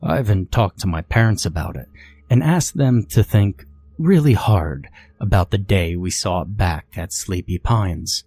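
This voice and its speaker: A man narrating a scripted first-person horror story in a measured, deliberate way. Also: sits low, animated, a little mellow, husky, no room sound.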